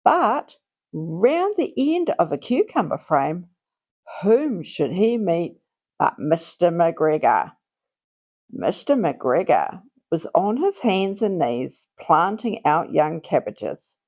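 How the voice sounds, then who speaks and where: Slow, animated woman reading children's stories. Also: on the low side. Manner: expressive, deliberate delivery for young children. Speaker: children's story channel host and reader. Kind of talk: read-aloud children's storytelling.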